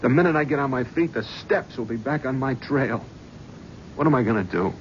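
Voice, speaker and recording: An actor playing a driven, haunted talent scout in a 1970s radio drama, bitter and sardonic. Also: male voice, medium pitch, a little fast, lightly acted. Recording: dull old radio broadcast with faint hiss.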